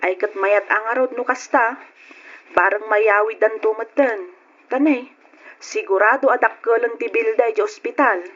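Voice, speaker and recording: A woman playing a concerned, sympathetic grown daughter in an old-time Ilocano radio drama, speaking gently. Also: a little high, normal speed. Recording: muffled old radio recording.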